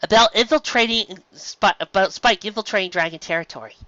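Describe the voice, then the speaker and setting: A man voicing a sensible, serious female cartoon pony leader with a measured, firm manner in a story reading. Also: high voice, pushed and bright, no echo, lo-fi sound, voice pushed up. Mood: stern.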